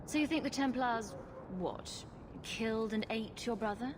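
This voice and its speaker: A woman's voice: a little high, normal speed, background noise, natural voice. A woman playing a blunt young mercenary heroine in a video game, cool and sarcastic in manner. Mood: wry. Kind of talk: dramatic video game acting. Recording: loud game music behind the voice.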